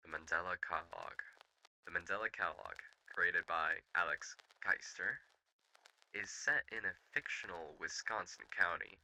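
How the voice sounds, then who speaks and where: A man's voice: low-pitched, sharp tone, slight background noise, poor audio. A young adult man reading a scripted video essay aloud, with occasional small stumbles.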